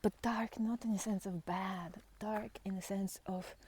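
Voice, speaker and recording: A woman with a soft-edged, mellow voice talks at a slow pace as she walks outdoors. Her delivery is reflective and unscripted, with halting phrases that often sink into a breathy near-whisper. The pitch sits in an average range for a woman. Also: fairly even intonation. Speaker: spiritual vlogger and guide. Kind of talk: unscripted reflective talk while walking in nature. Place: outdoors on a walk in nature.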